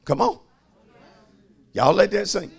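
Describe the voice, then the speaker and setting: A man's voice: sits high, expressive, takes it slowly, breathy edge. A man preaching as a pastor, emphatic, repeating phrases and calling on his listeners to respond. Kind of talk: sermon.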